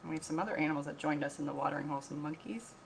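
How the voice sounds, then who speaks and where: A woman reading a picture book aloud like a teacher, pausing to explain, ask questions and repeat key points. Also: sits low, fairly even intonation, background noise. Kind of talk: read-aloud storytelling with explanatory asides.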